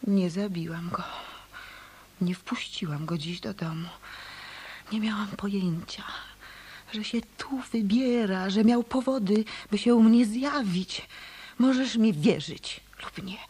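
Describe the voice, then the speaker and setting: An actress playing an anxious, world-weary woman in a Polish radio detective play, with a husky, breathy voice and a halting, hushed delivery. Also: mid-range voice, a little hiss or hum, natural voice. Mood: fearful, resigned.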